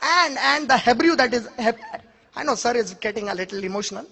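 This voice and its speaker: A very high-pitched man with a bright, forceful tone, whose first language is Urdu, makes an emphatic argument before an audience. He pushes his points with pointed rhetorical questions and challenges. His voice rings with long reverb from a large hall. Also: animated, brisk, creaky. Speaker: speaker answering an audience question. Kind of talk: public question-and-answer lecture.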